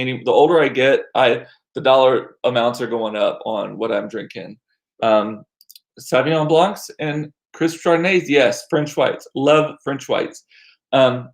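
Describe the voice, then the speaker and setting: A middle-aged American man chatting casually as a livestream host, rambling along with self-deprecating asides and animated, repeated words for emphasis. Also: mid-range voice. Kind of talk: casual chat to live viewers. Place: small home room used as studio.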